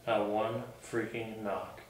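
A middle-aged man talking casually as a paranormal investigator in a dark indoor room, recorded on a camcorder. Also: on the low side, fairly even intonation, slow. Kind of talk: casual talk during a ghost investigation, calling out to spirits. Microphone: camcorder a short distance away. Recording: echoey room, faint noise, camcorder audio.

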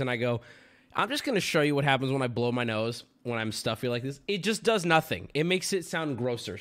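A man's voice: fairly high pitch, a bit bright. A man in his thirties hosting a livestream from home, crude and joking with sudden yelps, and sniffly from a stuffy nose. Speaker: streamer host. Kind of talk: casual livestream chat. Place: home streaming room. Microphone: studio mic on boom arm with headphones.

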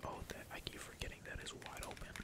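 A gamer speaks entirely in a breathy whisper, giving casual ASMR commentary on their play. The talk is slangy and peppered with swearing, while computer keys clack behind it. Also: fast talker. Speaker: gaming video creator. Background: computer keyboard typing.

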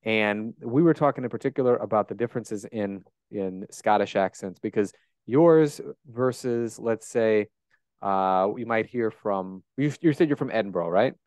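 A man's voice: on the low side, normal speed, a little mellow, clear, resonant tone, no echo. A man in his thirties with a Great Plains American accent, hosting a casual podcast interview and asking curious, chatty questions with frequent restarts. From United States. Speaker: podcast host. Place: bright room at home. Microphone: handheld dynamic mic close up.

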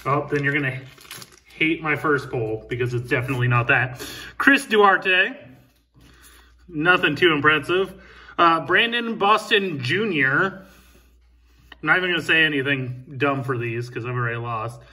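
A man's voice: a little high, moderate pace, long pauses, pushed and bright, recorded from a distance. A man in his thirties co-hosting a card-opening show, making dry, self-mocking jokes as he reveals his cards. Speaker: co-host opening card packs. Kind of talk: casual card-opening chat. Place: indoor room at a table.

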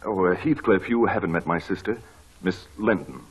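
An actor playing a genial, tender, well-bred gentleman in a 1940s radio drama. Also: sits low, lively intonation, normal speed, breathy edge. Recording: dull old radio broadcast, music behind.

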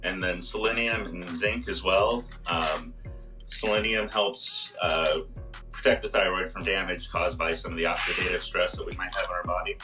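Hoarse deep-voiced pharmacist on a call. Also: male voice, fairly even intonation, moderate pace, far from the mic, over music, rough recording. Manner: explains step by step with many fillers. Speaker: pharmacist guest on a radio show. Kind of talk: casual health talk. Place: small room indoors. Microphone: video call.

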